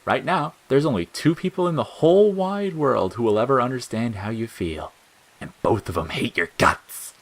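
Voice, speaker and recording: A man's voice: mid-range voice, expressive, normal speed, voice pushed up. Man voicing a sneering, spiteful little flower monster. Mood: taunting. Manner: sarcastic, mocking, snappish. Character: sneering bitter talking flower, spiteful bored male monster. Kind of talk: audio fiction character acting.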